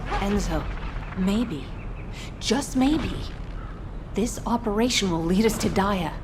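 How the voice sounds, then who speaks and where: A woman's voice: medium pitch, lively intonation, slow pace. A woman voicing a driven, solemn fighter and mother in a video game, intense and earnest. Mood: desperate, determined. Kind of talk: dramatic video game voice acting. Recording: clear background noise.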